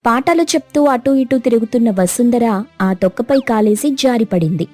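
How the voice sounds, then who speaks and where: A woman narrating a cartoon story in a steady, plain storytelling manner. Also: fairly high pitch, moderate pace, faint music behind.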